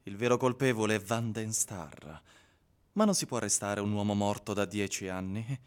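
An actor playing a haunted man recounting his ordeal in a measured, theatrical literary radio reading. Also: on the low side, smooth, lightly acted. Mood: insistent.

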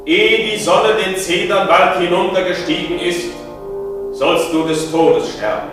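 An actor playing a dread angel of death, a stern messenger of God giving measured, commanding pronouncements in a solemn radio play. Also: male voice, sits high. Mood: stern, ominous. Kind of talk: solemn classical German radio play acting. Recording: very echoey, distant, over loud music. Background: dramatic music.

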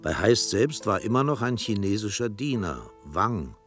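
A man's voice: on the low side, very animated, normal speed, fairly bright tone. A man giving scripted literary narration as the narrator of a German radio play. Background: music.

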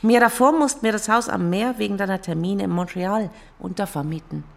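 A woman's voice: mid-range voice, fairly quick, creaky, close to an everyday voice. An actress playing an exasperated, sarcastic girlfriend who teaches school, in naturalistic, colloquial radio play dialogue. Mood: weary, hurt.

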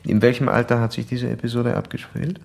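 An actor in a German radio drama playing a formal, probing psychoanalyst of the early 1900s, measured and clinical. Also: male voice, medium pitch, little pitch movement, gravelly, a little mellow. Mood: clinical, instructing.

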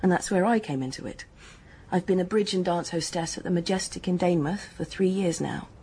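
An actress playing a young, guarded, matter-of-fact English dance hostess in a radio drama, speaking in a brisk, frank, colloquial way. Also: female voice, on the low side, dark tone, slight background noise, lightly acted. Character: hard-headed working-class hotel dance hostess, guarded, matter-of-fact.

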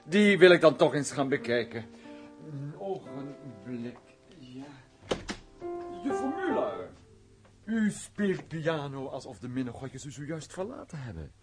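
An actor playing a genial, flirtatious count in a period radio play, hearty, gallant and teasing in formal speech. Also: male voice, medium pitch, very animated, unhurried, over music.

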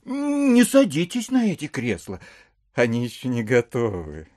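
An actor playing a dreamy, sentimental, idle landowner in an audio drama, with a drawn-out musing manner that repeats words. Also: male voice, mid-range voice, huge pitch swings, darkish tone, no echo, studio quality. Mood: sweetly solicitous. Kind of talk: theatrical audio drama acting.

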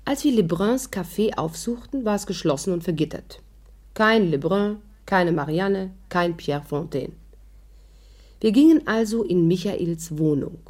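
A dryly amused woman narrating her escapades in a fifties radio drama. Her voice sits fairly low, and she tells things briskly with wry understatement. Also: lively intonation, darkish tone. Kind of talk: 1950s German radio drama narration and dialogue.

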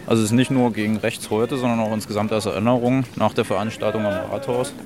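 A man's voice: fairly low pitch, fairly even intonation, quick pace. A man answering spontaneously and somewhat hesitantly in a street interview. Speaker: human chain participant interviewed. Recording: street crowd behind voice.

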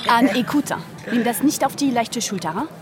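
High-voiced worldly Frenchwoman played by a woman. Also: lively intonation, moderate pace, over music, natural voice. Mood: cautioning. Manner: chatty, teasing, tag questions. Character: worldly, teasing Frenchwoman, wry pirate confidante. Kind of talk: radio drama acting.

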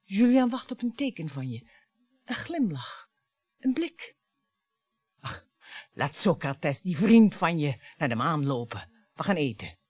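An actress playing a wounded, bitter wife and mother in a radio drama, theatrical and sarcastic, moving between hushed and emphatic speech. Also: low voice, very animated, slow, pauses often, husky, dry recording, heavily acted. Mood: tense, intense.

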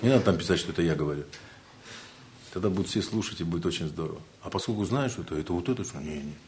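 A man's voice: sits low, animated, racing, noisy background. A man from Siberia with a Siberian Russian accent, giving an informal spiritual lecture in a joking, rambling way and laughing often.

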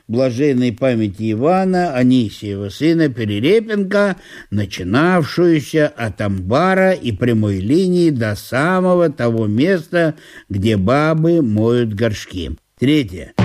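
An actor playing a pompous, indignant provincial landowner in a solemn audio play, speaking in florid officialese. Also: male voice, medium pitch, warm tone, close to an everyday voice. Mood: aggrieved, indignant. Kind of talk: theatrical audio-play monologue.

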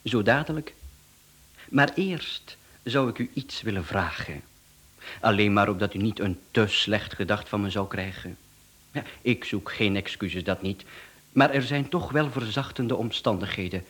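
A man's voice: fairly low pitch, a little slow, fairly bright tone, slightly rough. An actor in a radio play, a man with a Flemish accent, playing a mysterious, down-on-his-luck visitor who is polite but evasive and insistent. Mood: pleading, evasive.

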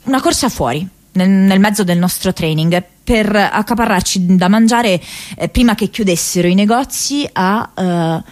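Actress as a halting, earnest young activist woman. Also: mid-range voice, darkish tone, natural voice. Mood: musing, casual. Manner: halting, reflective, conversational, with hesitations and repeated words. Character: young American woman activist reading her diary, earnest, searching. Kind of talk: radio theatre monologue.

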